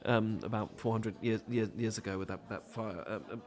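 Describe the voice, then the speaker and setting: A man's voice: on the low side, steady tone, moderate pace, close to an everyday voice. A man with a British accent playing a nervous, apologetic, bookish romantic lead in an audio roleplay, stammering and rambling hesitantly. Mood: shy, self-deprecating.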